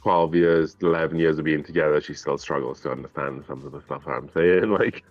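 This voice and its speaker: A man's voice: a bass voice, a little fast, dry recording, muffled recording, faint music behind. A Welsh man in his thirties with a Welsh accent, chatting casually about whiskey, a bit mumbly. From Wales. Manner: relaxed, chatty, slightly mumbly. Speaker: guest whiskey taster. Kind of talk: casual chat.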